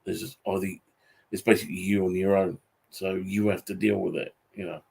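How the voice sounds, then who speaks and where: A British man in his forties with a husky, fairly flat delivery. He rambles casually in a grumbling, weary way, often stopping and repeating himself as he goes. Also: deep voice. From Britain. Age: 40s. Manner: halting, repetitive, grumbling. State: tired. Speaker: YouTube channel host. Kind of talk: casual unscripted monologue.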